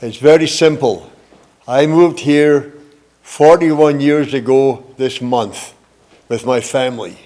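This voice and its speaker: An older man addresses a forum as a political candidate. His delivery is measured and earnest, with plain wording and a middling pitch. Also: warm tone. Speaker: mayoral candidate. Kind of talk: prepared campaign speech at a forum.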